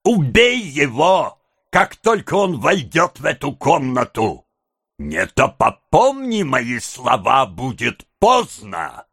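Audiobook villain: male reader as crafty, vicious old crook, very drawn-out words, swooping pitch, close dry sound. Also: big pitch swings, dry recording, full character voice. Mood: furious, menacing. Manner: slow, drawn-out, theatrical delivery. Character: scheming old male gang boss, wheedling, vicious when crossed. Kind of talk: dramatised audiobook character.

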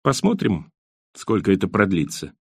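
A man voicing a reflective, melancholy immigrant with dry irony and a measured, literary way of talking, in an audiobook. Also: sits low, wildly swooping pitch, gravelly, a little mellow, slightly rough, no room sound, heavily acted.

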